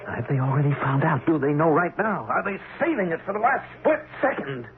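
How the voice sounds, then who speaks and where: An actor playing an anxious, guilt-ridden senator with a fretful, pleading manner in an old-time radio drama. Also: male voice, fairly high pitch, animated, a little fast, close to an everyday voice. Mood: agitated, fearful. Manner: fretful, pleading, dwells on his fears. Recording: noisy, tinny old radio broadcast.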